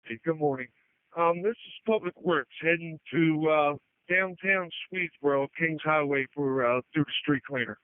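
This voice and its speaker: A man working on a road crew, checking in over two-way radio in a casual, halting way. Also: fairly high pitch, clean tone, no echo. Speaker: public works road crew driver. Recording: tinny narrowband radio transmission.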